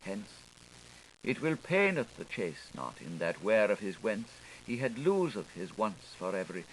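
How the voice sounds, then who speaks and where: A man's voice: medium pitch, poor audio. A man reading experimental literary prose as an audiobook in a theatrical, playful style, with rhythmic delivery of wordplay. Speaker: audiobook reader. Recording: hissy older studio recording.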